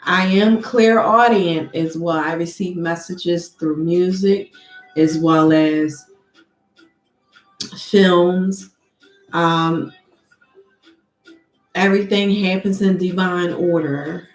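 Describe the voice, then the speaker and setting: A woman giving a tarot reading who talks slowly and deliberately, sniffling from allergies, and calls on spirits in a measured, invocation-like way. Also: on the low side, fairly even intonation, echoey room, soft background music, rough recording. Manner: slow, soft and deliberate, with an invocation-like cadence. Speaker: tarot card reader.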